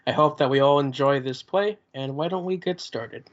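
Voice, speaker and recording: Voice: mid-range voice, moderate pace. A narrator reading scene descriptions and stage directions from a script at a play's table read. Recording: dull, muffled recording.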